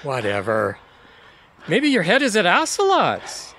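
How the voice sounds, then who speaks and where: A man's voice: sits high, wildly swooping pitch, measured pace, a bit bright, a little hiss or hum, heavily acted. A man playing a brash, teasing, crude best buddy in an audio theatre comedy. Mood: teasing, blunt.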